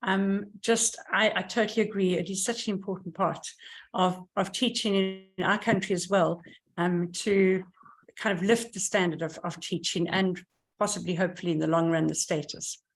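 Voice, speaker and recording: Brief: woman teacher, eager, halting phrasing, video call. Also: on the low side, fairly even intonation. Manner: eager, searching for words. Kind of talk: online meeting self-introduction.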